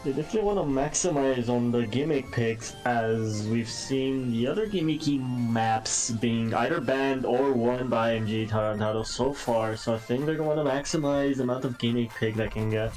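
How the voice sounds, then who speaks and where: A man's voice: medium pitch, normal speed, few pauses, darkish tone, faint music behind, rough recording. A man giving live esports commentary, analytical and a little hesitant, using fillers as he talks.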